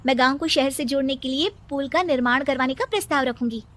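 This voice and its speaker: An earnest, hopeful young village girl in a cartoon storybook, voiced by a woman. Her voice is high and eager as she lays out her plans. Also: quick pace, fairly bright tone.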